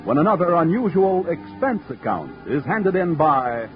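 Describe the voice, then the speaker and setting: Man, 1940s network announcer, formal, lively pitch, lo-fi with music bed. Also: normal speed. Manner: formal booming announcer delivery. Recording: dull old radio recording with music.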